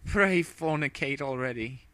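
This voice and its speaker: A man's voice: mid-range voice, lively intonation, a little slow, sharp tone, dry recording, voice pushed up. A man voicing a royal female pony princess with archaic, theatrical, haughty speech in a comic story reading. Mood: dazed, murmuring.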